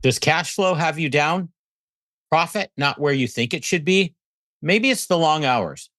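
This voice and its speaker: A man's voice: a little high, animated, measured pace, fairly bright tone, no room sound, studio quality. A man hosting a podcast, introducing and interviewing in a conversational presenter style. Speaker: podcast host.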